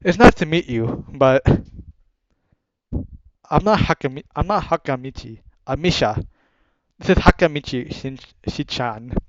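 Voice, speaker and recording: A man voicing a bubbly, cheerful teenage schoolgirl in a visual novel, speaking in a high, giggly voice. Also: animated, unhurried, dry recording, dull audio, lightly acted. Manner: high-pitched, giggly, repeats herself.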